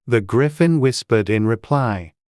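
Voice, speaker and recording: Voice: mid-range voice, animated, a little slow, darkish tone, dry recording, clean studio sound. An audiobook narrator reading a scripted storybook.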